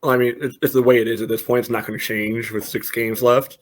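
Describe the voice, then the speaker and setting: A man's voice: mid-range voice, level tone, fast talker. A man co-hosting a sports podcast, giving casual analysis in a loose way peppered with filler phrases. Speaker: podcast co-host.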